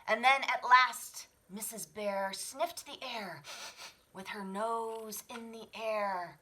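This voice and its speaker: Woman, children's story reader, slow, bright, dramatic, hushed whispers and sudden swells. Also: fairly high pitch. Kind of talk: expressive picture-book read-aloud.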